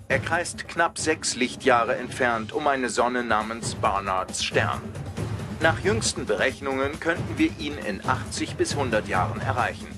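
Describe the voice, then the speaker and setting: Male documentary narrator over music. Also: medium pitch. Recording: prominent music bed behind.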